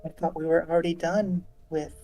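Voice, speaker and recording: A woman's voice: low voice, fairly even intonation, a little fast, dark tone, faint music behind. A woman voicing a cold, sarcastic, condescending woman in charge of a library, from a game script. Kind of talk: game script read-along.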